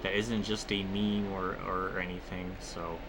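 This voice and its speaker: Low-pitched man rambling over noisy game commentary. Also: little pitch movement, unhurried. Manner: rambling, conversational, swears freely. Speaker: gaming video creator. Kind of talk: casual gameplay commentary with rambling personal storytelling.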